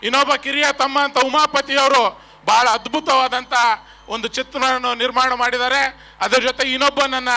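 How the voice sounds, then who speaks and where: High-pitched North Karnataka man rallying fans. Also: vocal fry, bright, forceful tone. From Karnataka, India. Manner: rallying, chant-leading, addresses crowd directly. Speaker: guest speaker at a film promotion event. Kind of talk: rousing public stage speech to fans. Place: stage at a public event.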